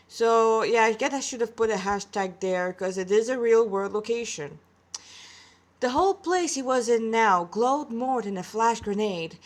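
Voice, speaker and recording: Slightly high-voiced woman reading her story. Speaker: author reading own story. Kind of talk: story narration with casual asides.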